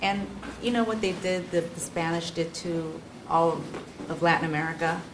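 A woman's voice: fairly low pitch, normal speed. A woman from Costa Rica speaking casually and personally in a group discussion. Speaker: discussion participant. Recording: clear background noise.

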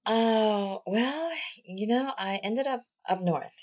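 A woman's voice: medium pitch, slow pace, darkish tone. A middle-aged woman from the United States, speaking as an interview guest in a rambling, reflective way, full of fillers and easy laughter. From born in Korea, raised in the United States. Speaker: interview guest, wellness practitioner. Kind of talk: casual conversational interview.

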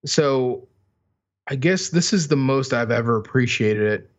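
A man's voice: mid-range voice, animated, a little slow. A middle-aged man co-hosting a podcast, casually talking music in a tentative, thinking-aloud way on a slightly lo-fi track. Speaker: podcast co-host.

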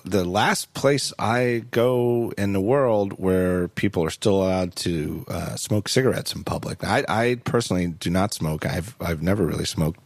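A slightly hoarse man hosting a podcast in casual chat, rambling with frequent restarts and self-corrections. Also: sits low, dry recording. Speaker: podcast host.

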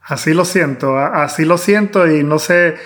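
A man's voice: fairly high pitch, normal speed, fairly bright tone. Venezuelan man hosting a conversational interview. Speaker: podcast host.

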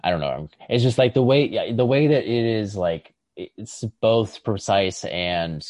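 A man co-hosting a podcast, reflecting casually and haltingly, circling back as he searches for the right words. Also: fairly low pitch, lively intonation, darkish tone, dry recording. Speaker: podcast co-host.

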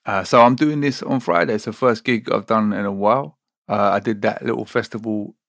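A man's voice: on the low side, fairly bright tone, no room sound. A man hosting a podcast, chatting casually and self-deprecatingly before performing spoken-word poetry. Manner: rambling, self-deprecating, conversational. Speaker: poet and podcast host.

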